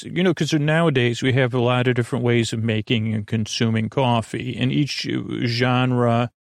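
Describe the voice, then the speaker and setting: A man hosting a bedtime podcast in a gravelly voice, meandering haltingly through repetitive, digressive thoughts that trail off mid-sentence. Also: mid-range voice, no room sound, clean studio sound. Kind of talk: rambling, meandering bedtime monologue.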